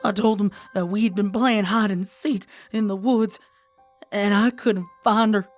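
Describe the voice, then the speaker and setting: A woman voicing a frightened, haunted young farm pony mare, stammering and halting in a dramatized horror story. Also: mid-range voice, steady tone, soft background music, natural voice. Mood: tearful, guilty.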